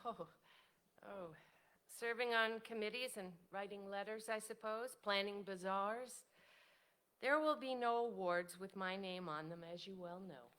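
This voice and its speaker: A woman's voice: medium pitch, steady tone, measured pace, a bit bright, natural voice. Actress doing a proper wistful widow lady, modest and self-doubting, old-fashioned stage play talk. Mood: self-deprecating. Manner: genteel, articulate, period phrasing. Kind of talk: staged reading of period stage drama.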